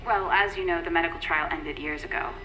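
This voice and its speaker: Polished company agent lady, bright voice, soothing and persuasive, audio drama, faint music. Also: on the low side, steady tone, a little fast, rough recording. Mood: reassuring. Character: smooth, reassuring, persuasive female corporate agent. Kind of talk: naturalistic audio drama acting.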